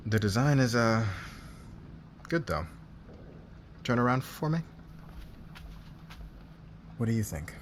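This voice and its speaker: A man acting a demanding, curt fashion boss who gets flustered by attraction, in an ASMR roleplay with clipped orders and hesitant pauses. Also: medium pitch, lively intonation, a little hiss or hum. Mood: flustered, businesslike.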